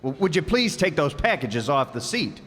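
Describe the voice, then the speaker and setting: A harried, put-upon salesman husband in a live radio play, as a man plays him. He grumbles and wisecracks with a lively, slightly high voice, and it echoes through a reverberant hall. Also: normal speed. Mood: flustered.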